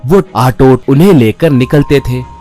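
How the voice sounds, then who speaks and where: A man with a medium-pitched voice narrates a scripted children's tale. Music plays behind his storytelling. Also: unhurried, mellow.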